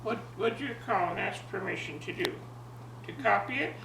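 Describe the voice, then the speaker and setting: A woman of ninety, a grandmother with a jovial, expressive manner, talking and telling stories to her family. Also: mid-range voice, moderate pace. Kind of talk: casual reminiscing and animated story reading for family. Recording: echoey, distant home recording with faint noise.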